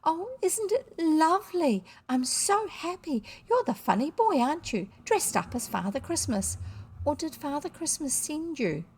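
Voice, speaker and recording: A woman voicing an excited, grateful young girl in a children's storybook, breathless and full of wonder. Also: high voice, unhurried, slight background noise, voice pushed up, exaggerated voice. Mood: awed delight. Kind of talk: children's storybook character reading.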